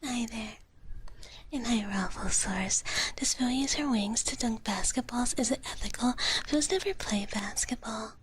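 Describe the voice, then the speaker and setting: A woman whispering softly and breathily in a gentle ASMR livestream chat, close to the microphone. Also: on the high side, a little hiss or hum. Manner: slow, gentle, speaks of herself in third person as a dragon character. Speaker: live streamer hosting ASMR.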